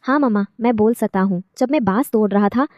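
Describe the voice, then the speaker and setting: A woman's voice: mid-range voice, fairly quick, darkish tone, no room sound, natural voice. A woman voicing an earnest, proud young male bird child in a children's cartoon. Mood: proud, happy. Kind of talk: children's cartoon story acting. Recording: slightly muffled, dull studio track.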